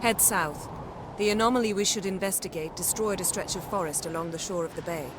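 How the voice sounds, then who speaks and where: Woman voicing a haughty sorceress in a fantasy game, clipped n bossy, very sure of herself, loud noise behind. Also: mid-range voice, brisk, natural voice. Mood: brisk, commanding. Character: haughty, imperious sorceress, coolly self-assured. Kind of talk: dramatic video game dialogue. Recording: game scene with loud background ambience.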